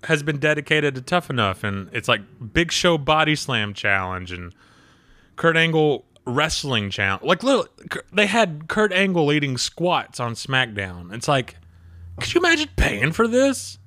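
Guy around thirty on a podcast, bright voice, bounces all over in pitch, dry close mic. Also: normal speed, no room sound. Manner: animated, laughs often, quotes people in voices. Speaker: podcast co-host. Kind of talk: casual wrestling chat.

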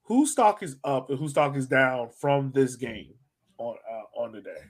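A man's voice: mid-range voice, big pitch swings, measured pace, husky. A man hosting a soccer fan podcast in casual chat, informal and slangy.

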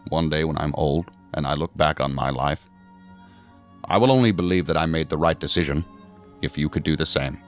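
An actor playing a measured senior federal agency director in a sci-fi audio drama, formal and deliberate in his speech. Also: extremely low pitch, steady tone, soft background music. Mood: tender, solemn.

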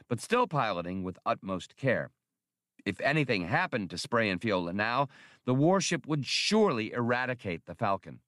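A man's voice: mid-range voice, a bit bright, no room sound, clean studio sound. A man reading an adventure novel as a dramatic scripted audiobook narration.

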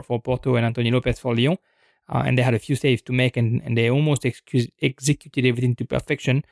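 A man's voice: medium pitch, vocal fry, a little mellow, dry recording, clean studio sound. A young man talking through football news on a podcast, hesitant and thoughtful, with many ums and restarts. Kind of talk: unscripted football commentary podcast.

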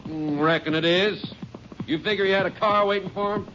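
An actor playing a plain-spoken, weary Texas county sheriff with a folksy, laconic manner in a 1950s radio drama. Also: male voice, high voice, steady tone, fairly quick, bright, forceful tone. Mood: dogged. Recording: noisy, muffled 1950s radio recording.

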